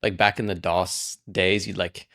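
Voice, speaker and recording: A man's voice: deep voice, little pitch movement, unhurried, bright, forceful tone. A man in his forties from Russia, a game programmer chatting casually as a podcast guest and rambling with a constant stream of "like". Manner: rambling, fills speech with frequent "like". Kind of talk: casual interview chat.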